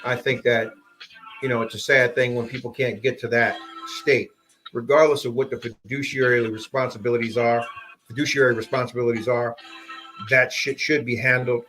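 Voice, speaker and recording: A man's voice: mid-range voice, steady tone, bright, forceful tone, faint music behind. A man hosting a casual debate, reasoning things through in a conversational way. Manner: conversational, agreeing, drawn-out reasoning.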